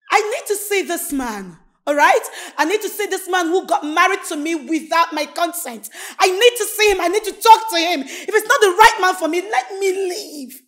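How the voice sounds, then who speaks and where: A woman's voice: a little high, a little mellow, close to an everyday voice. A young woman playing a desperate, defiant daughter with a Nigerian accent in an emotional film drama. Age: young adult. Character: desperate, defiant young daughter married off against her will. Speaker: actress.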